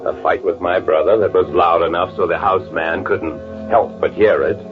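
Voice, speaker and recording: An actor playing a cold, menacing twin who narrates in a deadpan, sardonic old-time radio noir style. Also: male voice, low-pitched, moderate pace, phone-quality sound, music in the background, natural voice. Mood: cool, matter-of-fact. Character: cold, menacing twin brother, a man narrating his murder. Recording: band-limited old radio drama recording.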